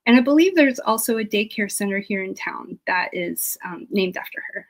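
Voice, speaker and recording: A woman's voice: medium pitch, animated, normal speed. An American woman giving an informal research presentation as a guest historian.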